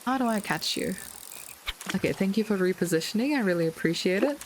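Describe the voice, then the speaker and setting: A woman with a slightly hoarse voice, chatting casually through her gameplay with light puns and frequent ums. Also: mid-range voice, lively intonation, a little fast, a little hiss or hum, rough recording. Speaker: gaming video creator. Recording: loud game music with rain sounds behind.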